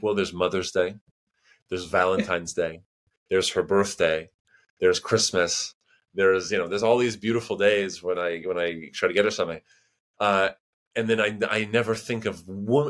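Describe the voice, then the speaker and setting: A man with an American accent talks in a fairly low voice as a podcast guest. His speech is loose and meandering, full of restarts and filler phrases. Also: a bit bright. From United States. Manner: rambling, full of "you know" and restarts. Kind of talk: casual conversation.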